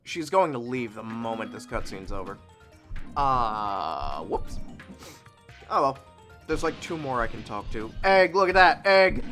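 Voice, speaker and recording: A man casually commenting on a video game he plays, sniffly from a recent sneeze, chatty and quick to swing between excited shouts and low mumbles. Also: soft background music. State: sniffly nose. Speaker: let's play host.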